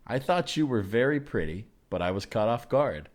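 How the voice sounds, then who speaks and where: A man hosting a comedy podcast, casually introducing and reading aloud with a husky voice. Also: mid-range voice, big pitch swings, moderate pace.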